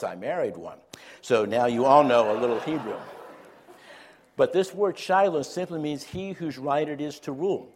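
A man's voice: medium pitch, darkish tone. A man preaching a sermon, earnest, with light humor and frequent fillers and restarts.

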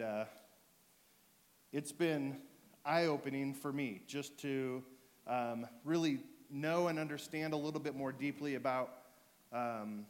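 A man with a sore throat and a fading voice, preaching to his church in a relaxed way, full of ums and restarts. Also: mid-range voice. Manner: joking, relaxed, with fillers and restarts. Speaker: pastor. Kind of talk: sermon.

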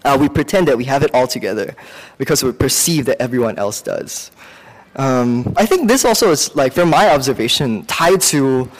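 A man from Singapore with a Singaporean accent preaches in a conversational, halting way, weaving in personal anecdotes and correcting himself as he goes. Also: mid-range voice, animated. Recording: long hall reverb.

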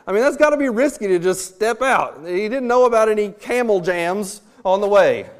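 Man, high voice, missionary preacher, sermon, animated, jokey. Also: moderate pace. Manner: animated, acts out quotes with humor.

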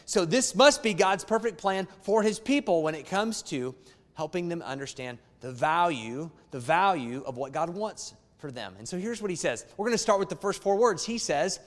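A man's voice: high voice, expressive, normal speed, long reverb tail. A man preaching a sermon to his congregation, emphatic and conversational, repeating key phrases and turning to the crowd with asides. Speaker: pastor. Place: church sanctuary.

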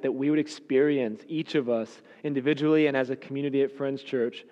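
A man preaching a sermon, his voice raspy and hoarse because he is losing it, speaking in an earnest, emphatic cadence. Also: medium pitch, warm tone, dull audio. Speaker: pastor. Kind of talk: sermon with scripture reading and prayer. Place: church sanctuary. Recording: long hall reverb.

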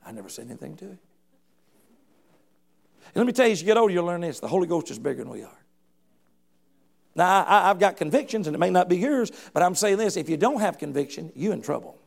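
Middle-aged male preacher, high and gravelly voice, fervent and folksy, frequent restarts. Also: darkish tone. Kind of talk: sermon.

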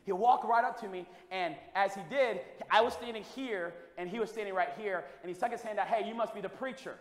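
A man preaching a sermon with a loud voice, moving between quiet storytelling and shouted preaching. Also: high-pitched, fairly quick. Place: church hall. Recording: echoey hall with long reverb.

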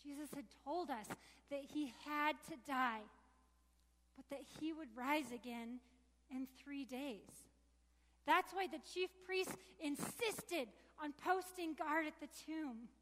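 A woman's voice: high-pitched, slow pace, a bit bright. A woman performing a biblical disciple in a heartfelt, emotionally charged first-person monologue. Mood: reflective. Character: grieving devoted woman disciple, earnest and emotional. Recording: long echoing reverb of a large hall.

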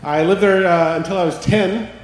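A man with a Canadian accent tells his story from a church stage in a relaxed way. His fairly high voice echoes through a big hall with a long tail. Faint ambience sits beneath. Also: normal speed, a little hiss or hum. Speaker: ministry coach. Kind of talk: co-teaching a sermon. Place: church sanctuary.

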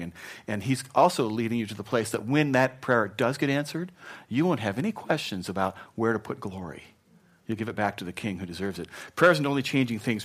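A middle-aged man preaching a sermon in a conversational, animated way, joking and acting out quotes, then softening to an earnest tone. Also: fairly low pitch. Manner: softens to a gentle, earnest tone when encouraging. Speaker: pastor. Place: church.

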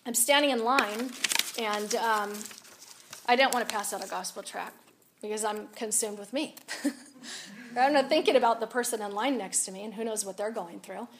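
Raspy-voiced woman telling stories. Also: a little high, normal speed, a little hiss or hum. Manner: animated, confiding, rises and drops a lot. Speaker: speaker at a women's event. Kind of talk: personal storytelling talk.

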